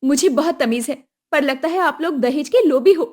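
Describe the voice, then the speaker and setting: A woman's voice: high-pitched, moderate pace, a little mellow, close to an everyday voice. A woman voicing a young bride who speaks in an earnest, polite but firm way.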